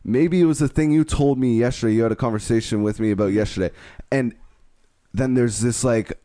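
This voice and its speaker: A man's voice: medium pitch, a little fast, mellow, no room sound, studio quality. A man in his twenties co-hosting a podcast, talking in a rambling, frank way that trails off mid-thought. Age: 20s. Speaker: podcast co-host. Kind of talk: casual chat.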